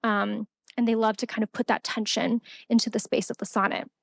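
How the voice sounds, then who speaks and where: A woman's voice: fairly high pitch, flat delivery, fairly quick, gravelly, no room sound, clean studio sound. A young woman poet reading her poem aloud and then giving a casual talk with many fillers at a college event.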